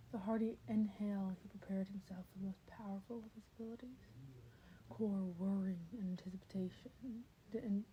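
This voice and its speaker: A woman's voice: mid-range voice, dull audio, a little hiss or hum. A woman narrating fan fiction as an amateur audiobook reader, now and then stumbling and repeating a phrase. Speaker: podfic reader.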